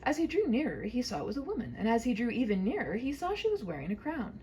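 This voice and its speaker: A woman's voice: fairly high pitch, huge pitch swings, fast talker, dark tone, a little hiss or hum. A woman reading a humorous story in a whimsical, mock-formal way, with wry asides.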